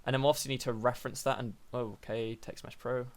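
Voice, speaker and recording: A young man with a slightly croaky voice, hosting a casual coding tutorial and thinking aloud with fillers and self-corrections. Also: medium pitch, flat delivery, fast. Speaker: programming tutorial host.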